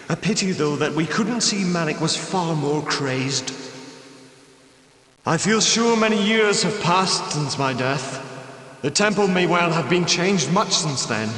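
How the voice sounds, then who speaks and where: The ghost of a medieval knight, betrayed and full of sorrow. His male voice sits a little high and speaks in solemn, archaic phrases with a bitter edge. Heavy echo and a long reverb tail surround every line of this video game character. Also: fairly bright tone. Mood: bitter, regretful. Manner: mournful, formal, archaic phrasing. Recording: echoing voice with long cavernous reverb.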